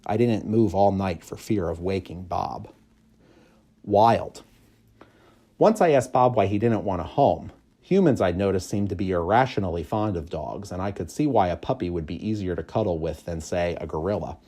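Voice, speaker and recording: A man reading a children's story aloud in expressive, measured, clearly phrased narration. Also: sits low. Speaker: audiobook reader, school staff member.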